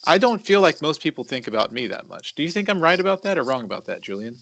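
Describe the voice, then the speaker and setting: A man in his mid-thirties talking as a podcast co-host in a reflective, confessional way, halting and deliberate, repeating words for emphasis. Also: a little high, big pitch swings, gravelly, a bit bright, dry recording.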